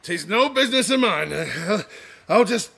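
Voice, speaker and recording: A gravelly, high-pitched old drunken sailor. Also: male voice, expressive, unhurried, a bit bright, exaggerated voice. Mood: uneasy. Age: older. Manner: rambling, archaic seafaring dialect. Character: rambling drunken old sailor, broke and regretful. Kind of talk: audio drama acting.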